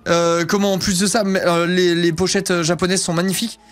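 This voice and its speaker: A man's voice: high-pitched, little pitch movement, fairly quick. A man in his thirties chatting casually as a streamer, rambling with plenty of fillers and crude slang. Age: 30s. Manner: rambling and slangy, swears freely, many fillers. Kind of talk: casual chat showing off purchases.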